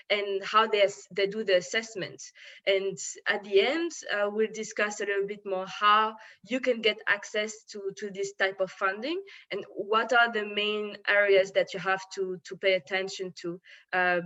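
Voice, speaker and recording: A woman from Congo, raised in France, giving an online presentation on investment, pausing often with filler sounds and restarting sentences. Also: mid-range voice, sharp tone, muffled recording. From Congo, grew up in France. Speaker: webinar presenter, impact investor.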